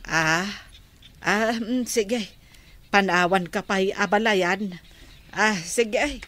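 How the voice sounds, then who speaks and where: A woman's voice: medium pitch, very slow, a bit bright. A woman playing a worried, hesitant middle-aged mother in a radio drama. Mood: worried, evasive. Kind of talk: Ilocano radio drama acting.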